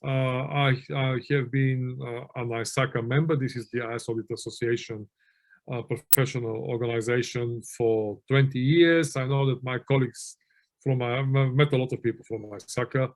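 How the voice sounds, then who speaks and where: A man's voice: medium pitch, fairly even intonation, moderate pace. A middle-aged man from Bulgaria giving a webinar lecture, telling anecdotes in a conversational way with many fillers and restarts. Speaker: webinar presenter on auditing.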